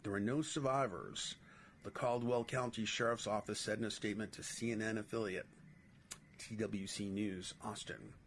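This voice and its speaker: A man's voice: on the low side, steady tone, moderate pace. Middle-aged male writer-host with a New York accent, reading political essays, slight noise behind. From New York City.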